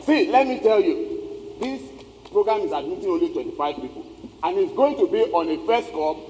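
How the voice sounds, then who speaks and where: A man's voice: sits high, lively intonation, normal speed, mellow. A man playing a pushy, slick newspaper seller in a theatrical stage play. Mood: boastful, persuasive. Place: theatre stage, picked up in the hall. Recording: echoey stage hall, background noise.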